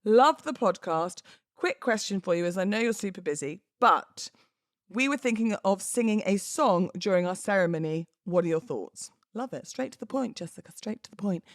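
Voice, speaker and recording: A British woman hosting a podcast solo, talking in a casual, cheeky, expressive and informal way. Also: mid-range voice, moderate pace, no echo, studio quality. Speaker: podcast host.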